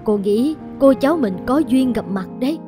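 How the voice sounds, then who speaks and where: A woman voicing a wealthy, kindly middle-aged lady in an animated moral tale, speaking politely and deliberately. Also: fairly high pitch, over music, lightly acted. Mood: reassuring.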